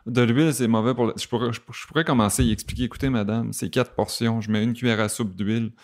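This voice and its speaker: A man's voice: mid-range voice, quick pace. A man with a Québécois accent, a podcast guest who talks casually and acts out the comments people send him. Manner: animated, acts out quoted comments. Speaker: podcast guest, vegan chef. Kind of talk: casual conversation.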